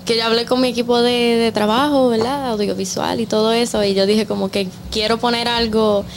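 A woman's voice: a little high, steady tone, fairly quick, a little hiss or hum. A young woman from Puerto Rico with a Puerto Rican accent, chatting informally as an interviewed artist and acting out little quotes as she tells stories. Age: young adult. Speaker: music artist being interviewed. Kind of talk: casual conversational interview.

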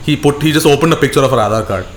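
A man's voice: a little high, very fast. An Indian-accented man chatting casually as a podcast co-host, telling stories in slangy, profane language and repeating himself for emphasis. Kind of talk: casual podcast chat, animated retelling. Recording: roomy echo, faint background noise.

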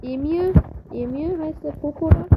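A woman with a slight cold, giving excitable, chatty commentary on her own gameplay, swearing and apologizing as she plays. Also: high-pitched, moderate pace, lo-fi sound, heavy background noise. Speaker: gaming video creator.

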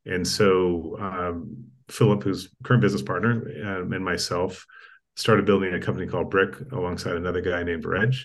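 A man from East Africa with a low, deep voice tells his background as an interview guest. He speaks in a measured way, pausing on ums and restarting phrases, heard over a video call. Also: steady tone. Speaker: guest, tech entrepreneur. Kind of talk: conversational interview storytelling.

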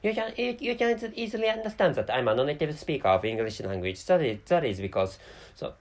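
Japanese-accented male podcaster, non-native English, plodding, self-correcting, lively intonation. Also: on the high side. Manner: halting, many restarts and self-corrections. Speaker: podcast host. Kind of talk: solo podcast monologue.

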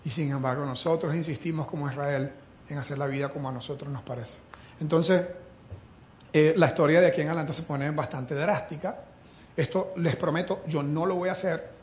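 A man from Venezuela preaching a sermon as pastor, animated and joking as he retells the story. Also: a little high, brisk, echoey room, slight background noise.

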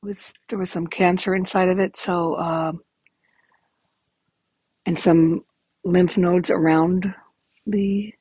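A woman in her fifties speaks in a rough, gravelly, hoarse voice that sits a little low. She rambles candidly through a casual podcast update, dotted with ums. The sound is dry and close. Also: steady tone, takes it slowly, long pauses, warm tone, no echo. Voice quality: raspy, gravelly voice. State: hoarse from illness. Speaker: podcast host.

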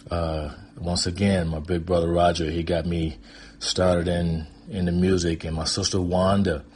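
A man in his fifties from Michigan with an American accent, telling personal stories in an informal, rambling, hesitant way with a husky voice. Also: low-pitched, a little mellow, slight background noise. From Pontiac, Michigan, United States. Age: 50s. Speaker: guest storyteller. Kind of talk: casual personal storytelling.

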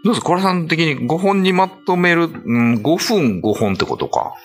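A man's voice: on the high side, expressive, fairly quick, faint music behind. A man co-hosting a talk show in a studio, chatting casually and readily chiming in to agree. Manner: responsive, frequent agreeing interjections. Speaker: show co-host, narrator and voice performer.

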